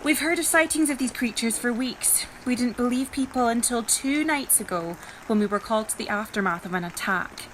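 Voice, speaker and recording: A bossy military ringleader, played by a woman in an audio drama. Her voice is sharp and on the high side, with a brisk, sardonic delivery. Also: slight background noise, natural voice, rough recording. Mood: businesslike.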